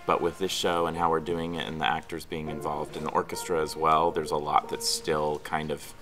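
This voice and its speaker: Very deep, even-toned man. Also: a little fast. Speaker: theatre director. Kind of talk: documentary interview. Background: music.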